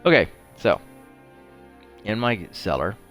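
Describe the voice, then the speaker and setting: A man's voice: fairly low pitch, very animated, slow, fairly bright tone, soft background music. A man giving casual gameplay commentary, rambling and conversational as he thinks aloud. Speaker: host of a video game playthrough show.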